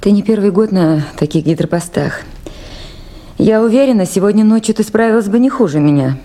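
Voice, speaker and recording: An actress playing a resolute, earnest woman in charge of a river station in a radio play, speaking firmly and with measured authority. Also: mid-range voice, expressive, warm tone, close to an everyday voice. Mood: earnest, pleading. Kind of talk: 1980s radio play acting. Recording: faintly noisy 1980s radio drama recording.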